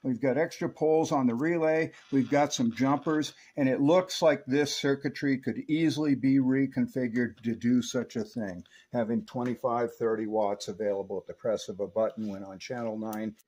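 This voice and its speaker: A man with a medium-pitched voice presents a hands-on electronics review. He explains things in a methodical, technical way, moving from one detail to the next like someone demonstrating at a bench. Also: fairly even intonation, normal speed, few pauses, a little mellow. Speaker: presenter of radio equipment reviews.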